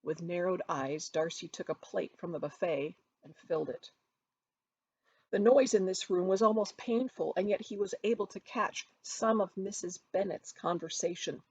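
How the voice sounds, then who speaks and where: A woman reading her novel aloud as expressive storybook narration, with dramatic, emphatic phrasing. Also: lowish voice, moderate pace, breathy edge, dry recording, lo-fi sound. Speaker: author reading from their own novel.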